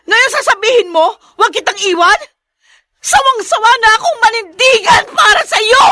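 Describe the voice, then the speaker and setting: High, emotional, long-suffering woman. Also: animated, normal speed, pushed and bright, no room sound, studio quality, heavily acted. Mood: fed-up hurt. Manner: pleading, emphatic. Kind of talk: Filipino radio drama acting.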